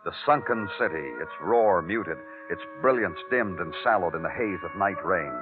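Moody, low-voiced American radio detective. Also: male voice, animated. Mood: brooding. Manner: moody, deliberate, hardboiled. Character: world-weary, poetic male police detective narrator. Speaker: detective and narrator. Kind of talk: 1950s radio crime drama acting. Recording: narrow, tinny vintage radio recording, music.

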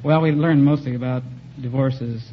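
A man's voice: medium pitch, steady tone, moderate pace. A young man, a quiz contestant, giving brief, straight-faced answers. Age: young adult. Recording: muffled, very noisy old radio recording.

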